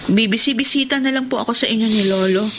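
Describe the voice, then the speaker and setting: A woman's voice: on the high side, fast talker, background noise, lightly acted. A woman playing a stubborn, wounded young daughter in a Filipino radio drama, speaking with insistent emotion. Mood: withdrawn, firm.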